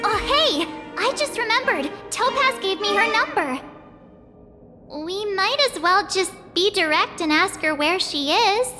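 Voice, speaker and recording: A loyal, spirited teenage girl adventurer in a video game. Her voice is extremely high and she talks in an informal, outspoken way. It sits in long reverb over clear background music. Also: expressive, a little slow. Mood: upbeat, confident. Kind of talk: video game cutscene voice acting.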